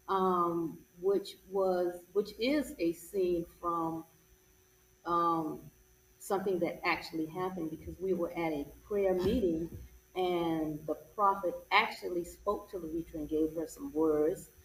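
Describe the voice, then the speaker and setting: A woman's voice: lowish voice, fairly even intonation, unhurried. A woman, a stage actress, talking casually as an interview guest. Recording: echoey, distant mic, background noise.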